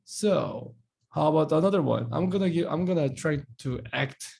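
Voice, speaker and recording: A male language teacher speaks English. His voice sits fairly high but sounds mellow and dark, with a gravelly edge. His melody swings widely as he walks through examples patiently. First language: Korean. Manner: lively, explains patiently, repeats Korean words slowly, acts out short dialogues. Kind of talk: live online class.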